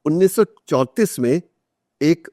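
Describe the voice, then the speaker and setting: A man's voice: a little high, lively intonation, measured pace, dark tone, no echo. Indian man telling anecdotes in dry, close sound. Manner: anecdotal, explanatory, mixes in English phrases. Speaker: interview guest, author. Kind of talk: conversational storytelling in a podcast interview.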